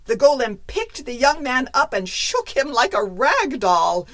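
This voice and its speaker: A woman narrating a folktale as a storyteller, with expressive, dramatic phrasing. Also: high voice, a little slow, no room sound.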